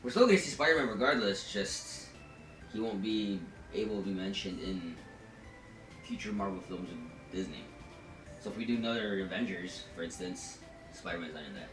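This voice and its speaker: A man's voice: low-pitched, moderate pace. A man co-hosting a podcast, chatting casually about pop culture in informal, slangy language with some swearing. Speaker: podcast co-host. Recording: echoey room, distant mic, music behind. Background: music.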